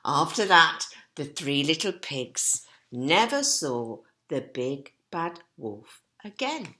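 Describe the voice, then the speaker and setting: Animated woman reading a children's storybook. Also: sits low, slow pace. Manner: expressive, asking children rhetorical questions. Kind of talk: animated storybook narration with asides to listeners.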